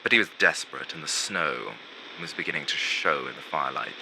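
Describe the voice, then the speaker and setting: A man playing a vain, gloomy undead showman who narrates an animated film in a pompous, drawn-out theatrical manner. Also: extremely low pitch, creaky, very noisy, full character voice. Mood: solemn, dramatic. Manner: dramatic, pompous, drawn-out delivery with hushed asides.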